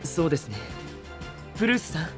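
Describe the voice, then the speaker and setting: Composed, courteous anime gentleman, fairly high voice, formal delivery, music behind. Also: big pitch swings, fast. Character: polite, composed, considerate young gentleman. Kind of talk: Japanese anime audio drama acting.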